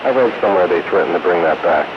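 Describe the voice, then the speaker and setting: A man's voice: low voice, lively intonation, fast. A man chatting casually and colloquially as host of an on-air CB radio roundup, heard over a radio transmission. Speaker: amateur radio operator hosting an on-air roundup. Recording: noisy hissy muffled two-way radio transmission.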